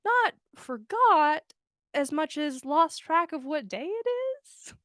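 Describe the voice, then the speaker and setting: Give me a woman reading as an earnest, bookish man, high and lively. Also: slow pace, no echo, studio quality, exaggerated voice. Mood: sheepish, keen. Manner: formal, wordy, polite. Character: earnest, bookish, absent-minded adult male herbologist.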